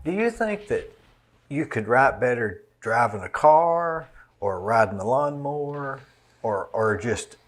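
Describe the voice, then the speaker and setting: A man hosting a casual interview, putting reflective questions in a halting, conversational way. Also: medium pitch, big pitch swings. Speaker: interview host.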